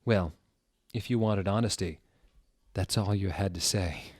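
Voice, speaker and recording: A man's voice: sits low, a little slow, a little mellow, breathy edge, no echo, studio quality. Man playing a brooding, self-serious wizard. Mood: muttering. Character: brooding, touchy male wizard in a goth parody.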